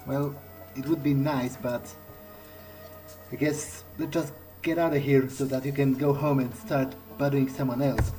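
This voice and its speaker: A man's voice: mid-range voice, fairly even intonation, normal speed, mellow. A man speaking casual in-character dialogue as he plays a game, with hesitant, conversational phrasing. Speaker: let's player improvising in character. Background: game soundtrack music.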